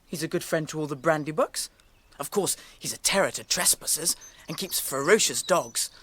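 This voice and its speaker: An actor playing a cheerful, sociable young gentleman hobbit in a radio drama, chatty and eager, with an English accent. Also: fairly high pitch, lively intonation, moderate pace, slightly rough, heavily acted. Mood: breezy, reassuring.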